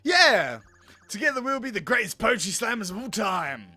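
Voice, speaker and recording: A man's voice: high voice, very animated, moderate pace, sharp tone, soft background music, exaggerated voice. A man playing a scatterbrained, overconfident, dramatic loafer in a comedy visual novel, with silly delivery. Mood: enthusiastic.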